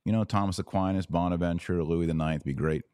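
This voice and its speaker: A man from the United States with a low voice hosts a podcast. His commentary is informal and punchy, with firm emphasis. The recording is very dry. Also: steady tone, fast, mellow, clean tone, dry recording. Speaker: podcast host and author.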